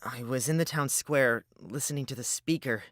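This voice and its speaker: Woman acting a low-voiced bewildered young orphan. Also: normal speed, no room sound, studio quality. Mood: dazed. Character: earnest young orphan, bewildered protagonist of fantasy story. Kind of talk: visual novel voice acting.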